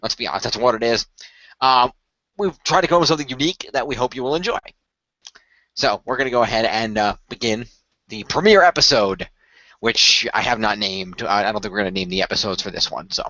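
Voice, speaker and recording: A man's voice: medium pitch, brisk, sharp tone, dry recording, dull audio. A man hosting and narrating a radio play informally, hesitant and improvising, often restarting his phrases. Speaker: host and narrator.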